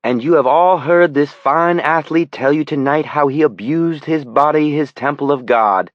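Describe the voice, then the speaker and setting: Fervent male televangelist for audiobook, loud, booming, raised pitch; played by a male narrator. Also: moderate pace, no room sound, lo-fi sound, natural voice.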